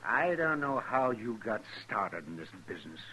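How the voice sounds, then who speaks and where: A man's voice: on the low side, sing-song, moderate pace, heavy background noise. A man playing a proud, sardonic older frontier doctor in a 1950s western radio drama. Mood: indignant. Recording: vintage 1950s radio broadcast.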